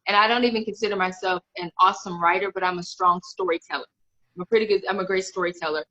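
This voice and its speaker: A woman in her thirties talks openly as a guest author, circling back and restarting her thoughts as she goes. Her voice is bright and forward. It reaches us through a video call with dull, lo-fi livestream audio. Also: lowish voice, fairly even intonation, fast talker. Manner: candid, rambling, many restarts. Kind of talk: casual interview chat.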